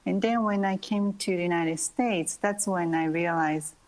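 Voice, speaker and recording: A Japanese-born woman ballet dancer in her thirties, speaking English as a second language in an interview, soft-spoken with frequent pauses and light laughter. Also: on the low side. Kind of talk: interview, answering questions.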